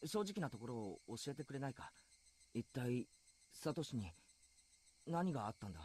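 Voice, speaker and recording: An earnest teenage boy from an anime visual novel, talks in a rapid, breathy rush. He is curious, and his pitch stays mid-range. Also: big pitch swings, a little mellow. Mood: earnest, subdued.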